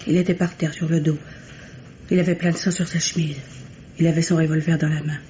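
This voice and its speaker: A woman's voice: sits low, little pitch movement, brisk, dark tone, close to an everyday voice. A nervous female household maid played by a radio actress speaks in a hesitant, deferential, colloquial way. It is old French mystery radio drama. The recording is dull and lightly noisy. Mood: frightened. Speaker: radio actor.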